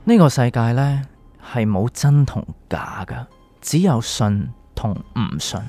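An actor playing a calm, enigmatic doctor in a radio drama, speaking Hong Kong Cantonese in a measured, probing way. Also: male voice, medium pitch, no room sound. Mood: cryptic, grave. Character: calm, cryptic male doctor with a secret identity. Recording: music underneath.

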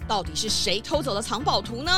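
A woman working as narrator, telling a children's story in an expressive scripted style. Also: a little high, fairly quick, over music.

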